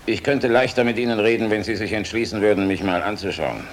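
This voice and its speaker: An actor in a German radio play, playing a middle-aged air force general, weary and decent, measured and frank with dry irony. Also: male voice, on the low side, natural voice. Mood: earnest, grave. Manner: measured, frank, dryly ironic. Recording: noisy radio play recording.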